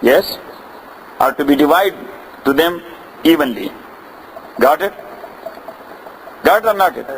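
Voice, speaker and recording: A man's voice: a little high, lively intonation, a little slow, long pauses, slight background noise, low-quality recording. A man with a Pakistani accent, English not his first language, lecturing to students, repeating phrases and checking that they follow. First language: neither Arabic nor English. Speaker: teacher. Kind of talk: classroom lecture on inheritance law.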